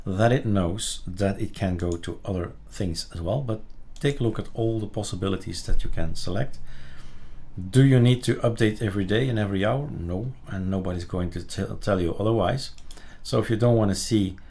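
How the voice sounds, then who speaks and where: Male tutorial presenter, lower register, casual and halting, thinks aloud while demonstrating. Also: dark tone. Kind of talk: casual screen-recorded software tutorial.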